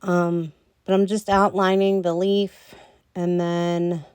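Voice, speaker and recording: A woman talking through a nail tutorial in a slow, rambling way with lots of ums, a little hoarse as she loses her voice. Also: fairly low pitch, level tone, darkish tone, no echo, clean studio sound. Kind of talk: casual voice-over tutorial.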